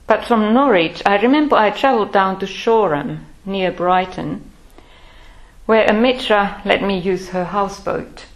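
Older woman telling her life story slow and thoughtful, lots of pauses. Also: medium pitch, low-quality recording. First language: Finnish. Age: 60s. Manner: measured, thoughtful, pausing often. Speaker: Buddhist order member. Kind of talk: reflective personal life-story talk.

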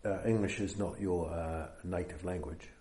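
A man with a New Zealand accent leading a meditation retreat, giving an unhurried dharma talk with many fillers. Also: low voice, fairly even intonation, dark tone, echoey room.

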